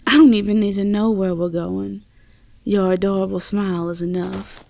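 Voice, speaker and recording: A woman's voice: mid-range voice, fairly even intonation, moderate pace, vocal fry, darkish tone, dry recording, lightly acted. A woman playing a sweet, flustered Southern belle girlfriend in an intimate roleplay audio drama, with a Southern US accent and an affectionate, stammering delivery. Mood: playful, teasing.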